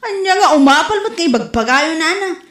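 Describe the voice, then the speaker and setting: A woman's voice: very high-pitched, lively intonation, a little fast, fairly bright tone. A woman with an Ilocano Filipino accent playing a sour, envious, mocking gossip in a comedy audio drama. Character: sour, envious, gossiping daughter who mocks vloggers. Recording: roomy, echoing home recording.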